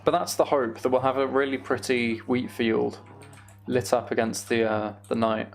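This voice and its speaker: A man with a noticeably creaky, gravelly voice talks casually on a gaming livestream. He muses aloud to himself and to his viewers in a conversational way. Faint music plays behind him. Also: mid-range voice, steady tone, normal speed. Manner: musing aloud, reads and answers viewer comments. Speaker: live streamer.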